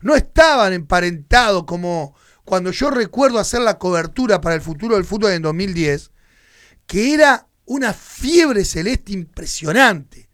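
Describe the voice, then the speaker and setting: A man's voice: sits high, lively intonation, moderate pace, a bit bright, no echo, clean studio sound. A Uruguayan man with a Uruguayan Spanish accent hosting a radio sports show, giving heated, indignant opinion commentary. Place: radio studio.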